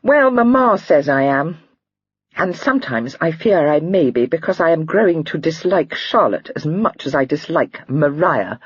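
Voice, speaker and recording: Lively and teasing, this young lady chatters with her voice flying up high and swooping all over, soft and breathy. A woman puts her on while reading the story aloud, close to the mic in a dry, muffled recording. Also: moderate pace, no echo, heavily acted. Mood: chattering.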